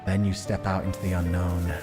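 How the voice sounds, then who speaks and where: A man's voice: low voice, level tone, a little fast, loud music behind the voice. A man hosting a game stream, reading visual novel narration aloud and chatting casually about it. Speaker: livestream host playing a visual novel.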